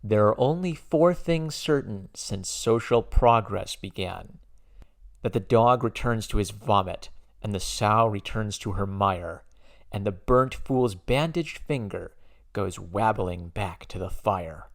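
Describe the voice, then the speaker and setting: A man giving a dramatic poetry reading with a gravelly voice and measured, declamatory phrasing with emphatic stresses. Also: on the low side, animated. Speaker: poetry reader.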